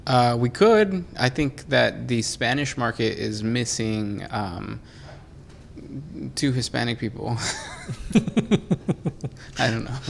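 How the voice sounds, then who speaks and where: A man's voice: medium pitch, takes it slowly, gravelly, pauses often, a little hiss or hum. A man co-hosting a casual podcast about a tabletop war game, easygoing and joking, reading out fan questions. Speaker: podcast co-host.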